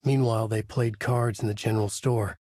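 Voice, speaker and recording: An actor playing a brooding, haunted male novelist who narrates his dark horror thriller in a measured, grave, husky voice for a video game. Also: lowish voice, steady tone, no echo, studio quality, close to an everyday voice. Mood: ominous. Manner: measured, grave, dramatic delivery. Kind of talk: scripted noir horror narration.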